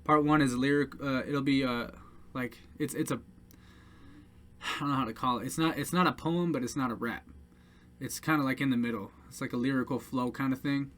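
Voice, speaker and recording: A man's voice: mid-range voice, steady tone, brisk, a bit bright. A man casually talking to his audience as a musician, hesitant and earnest, with many fillers and restarts. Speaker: independent musician presenting his songs. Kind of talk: casual talk to camera.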